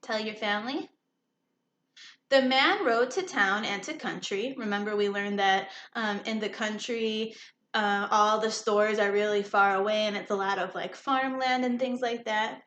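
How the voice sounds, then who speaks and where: A woman teacher reading a story aloud to children, pausing to explain simply and check understanding with questions. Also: mid-range voice, a bit bright. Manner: instructive, checks understanding often. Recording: echoey room with faint noise.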